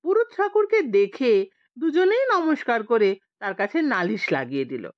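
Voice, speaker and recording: A woman narrating a scripted story as an audiobook reader, in an expressive storytelling style. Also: a little high, normal speed, no echo, lo-fi sound.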